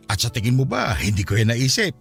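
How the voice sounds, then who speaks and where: A man's voice: medium pitch, sing-song, normal speed, creaky, soft background music. A man playing a cheerful, caring working-class husband in a radio drama, easygoing and reassuring. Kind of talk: Filipino radio drama acting.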